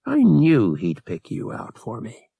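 A man's voice: mid-range voice, huge pitch swings, a little slow, vocal fry, husky, no room sound, phone-quality sound, heavily acted. A man voicing a young bounty hunter in an audiobook, tough and smug, giving blunt, slangy, threatening orders.

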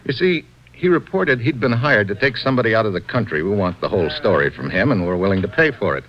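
A man's voice: lowish voice, expressive, fast talker, close to an everyday voice. An actor with an American accent playing a tough, wisecracking man on the run who narrates his own radio adventure in clipped slang. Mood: brisk, wry. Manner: clipped, slangy, hard-boiled. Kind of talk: 1950s radio adventure acting, hard-boiled narration. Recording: noisy, muffled old radio recording.

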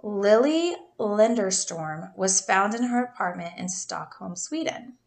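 A woman's voice: medium pitch, animated, measured pace, gravelly. Chatty woman telling a crime story. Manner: chatty, laughs often, stumbles over names. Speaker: podcast co-host telling a true crime story. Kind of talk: casual storytelling chat.

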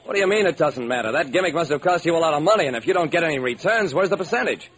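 A man's voice: a little high, lively intonation, fairly bright tone, husky. An actor playing a shrewd, wry private detective who narrates his own case in an old-time radio drama. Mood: skeptical. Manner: wry, brisk storytelling. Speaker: narrator and lead character. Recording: slightly noisy old radio recording.

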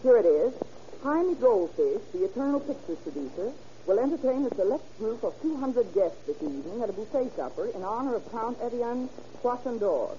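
A muffled old radio recording with faint noise carries a confident American woman records clerk. Her pitch swings lively over a mellow tone, and she explains briskly, like a born detective. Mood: eager, assured. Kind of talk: old-time American radio drama acting.

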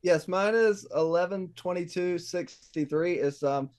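A man's voice: high-pitched, measured pace, a little mellow. A young man as a podcast guest, talking casually and hesitantly with many fillers. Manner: hesitant, many ums and likes. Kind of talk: casual chat.

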